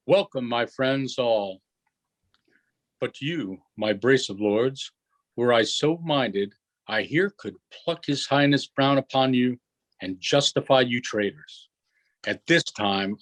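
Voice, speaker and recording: A man reading the part of a commanding, forgiving yet stern duke and magician in an unrehearsed Shakespeare play, in a measured, declamatory way. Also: medium pitch, fairly bright tone, poor audio. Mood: stately, welcoming.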